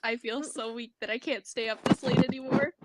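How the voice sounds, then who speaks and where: High-pitched young-sounding woman chatting. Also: steady tone, a little fast, very noisy. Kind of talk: casual chat in a group voice call before a recording.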